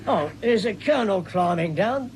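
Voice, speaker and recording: A man acts a working-class sidekick in an old-fashioned radio adventure. He is cheerful and shrugs off trouble. The recording is clearly noisy. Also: sits high, expressive, normal speed. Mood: stoic, cheerful. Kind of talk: 1940s radio adventure serial acting.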